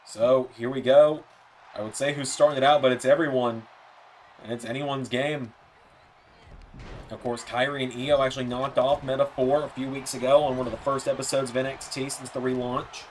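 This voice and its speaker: A man doing play-by-play commentary for a wrestling video game, his voice a bit hoarse and softer than usual, with slips and self-corrections. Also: medium pitch, slight background noise. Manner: rapid play-by-play, rising excitement on near-falls.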